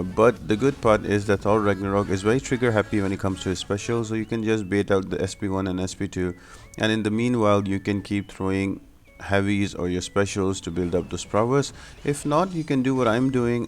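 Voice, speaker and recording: A man's voice: lowish voice, darkish tone. A man giving explanatory, step-by-step commentary as the host of a video game guide. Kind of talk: gameplay tutorial commentary.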